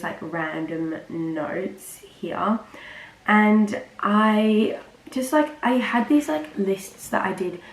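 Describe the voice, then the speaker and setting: A teenage girl chats to camera in a loose, rambling way, leaning on filler words. Her voice is a little croaky from a cold and sits at a middling pitch. The room around her is echoey, with a faint hiss of ambient noise behind. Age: teens. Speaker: vlogger. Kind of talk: casual chat to camera.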